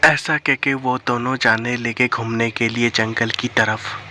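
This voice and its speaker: A man's voice: medium pitch, fairly even intonation, unhurried, sharp tone. A man narrating a scripted cartoon story as its storyteller.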